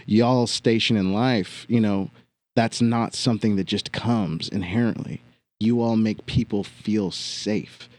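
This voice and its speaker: A man's voice: fairly low pitch, measured pace, no room sound. Earnest man telling a story. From West Virginia. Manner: earnest, animated storyteller who swears casually. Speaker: guest musician and past co-host. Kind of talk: casual podcast chat. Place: recording studio on a hill.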